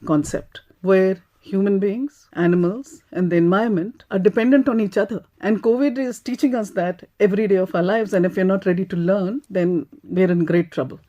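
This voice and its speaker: An Indian woman speaks as a panelist on a radio discussion. Her voice sits at a middle pitch, mellow and warm, and her manner is earnest. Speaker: animal rights activist, panelist.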